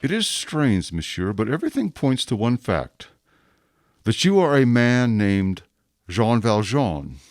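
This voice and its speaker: An actor playing a rigid, dutiful police inspector in a radio drama, speaking formally and deliberately with stiff deference. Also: male voice, mid-range voice, very animated, no echo, clean studio sound. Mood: grave confession. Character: rigid, dutiful male police inspector, self-accusing and obsessive.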